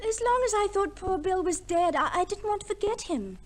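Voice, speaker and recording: A woman's voice: very high-pitched, expressive, brisk. An actress playing a coquettish, self-centred married lady in a 1950s radio play, with a theatrical, affectedly sweet manner. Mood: coy, flirtatious.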